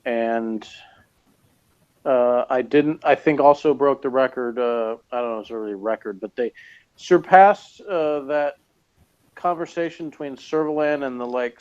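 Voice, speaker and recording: A man's voice: medium pitch, measured pace, a little mellow, clear, resonant tone. Tired rambling man, lo-fi. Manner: rambling, hesitant, many fillers. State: tired, yawning. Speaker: podcast co-host reviewing a TV episode. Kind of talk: casual chat.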